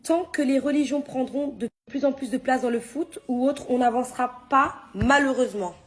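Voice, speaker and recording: A woman's voice: high-pitched, normal speed. A woman giving opinion commentary to her followers in an assertive, rhetorical manner.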